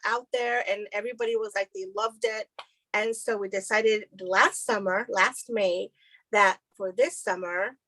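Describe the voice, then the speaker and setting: A woman from El Salvador co-hosting a casual crochet podcast, telling stories in a chatty, animated way and laughing often. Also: on the high side, no echo, low-quality recording. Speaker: podcast co-host. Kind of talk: casual chat. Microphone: video call recording.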